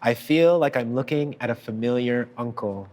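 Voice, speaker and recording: A man reading a prepared tribute in a measured, deliberate and formal way. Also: mid-range voice, darkish tone. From child of parents from Sierra Leone. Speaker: author giving a tribute. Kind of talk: prepared speech read aloud.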